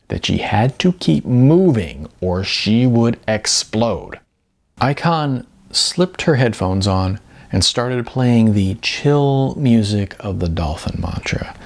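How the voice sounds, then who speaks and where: A man who is an author reading his own novel as audiobook narration, with expressive emphasis and drawn-out words. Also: on the low side, gravelly.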